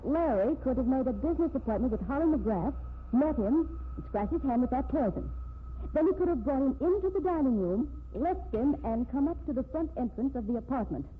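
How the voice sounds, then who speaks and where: A woman's voice: high voice, expressive, brisk. An actress playing an earnest, insistent woman defending the man she loves in a 1950s radio drama. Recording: tinny, noisy 1950s radio recording.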